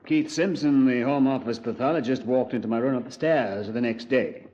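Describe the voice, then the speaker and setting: A man's voice: medium pitch, moderate pace, background noise, close to an everyday voice. Man acting a composed British police commander, muffled. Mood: composed, recounting. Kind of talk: 1950s radio drama, conversational narration. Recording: muffled vintage radio recording.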